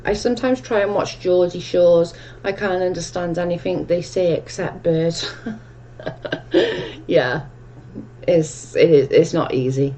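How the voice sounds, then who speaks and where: A woman's voice: fairly low pitch, a little slow, dark tone, a little hiss or hum. A woman with a strong Welsh accent hosting a casual livestream chat, reading viewer comments aloud and answering them in a chatty, rambling way. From Wales. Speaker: livestream host.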